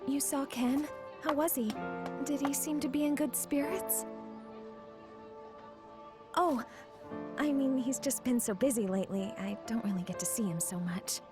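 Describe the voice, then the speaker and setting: A high-voiced, anxious young wife in an animated drama. She speaks hesitantly and gently, with music clearly behind her. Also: a little slow, pauses often. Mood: anxious, wistful. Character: wistful, anxious young wife of an absent fighter. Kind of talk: animation dub acting.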